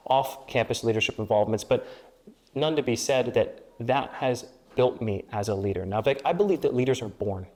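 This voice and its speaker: Young man, Spanish as first language, student candidate, campaign pitch, rhetorical triplets, medium pitch. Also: normal speed, a little mellow. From grew up in Peru and the Dominican Republic. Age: young adult. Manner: speechlike, builds rhetorical triplets and repeated phrases. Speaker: student government presidential candidate.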